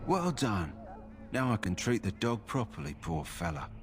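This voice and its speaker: A man's voice: fairly low pitch, big pitch swings, moderate pace. A man voicing a matter-of-fact middle-aged male kennel master in a fantasy game, speaking plainly and practically. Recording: game audio with music behind.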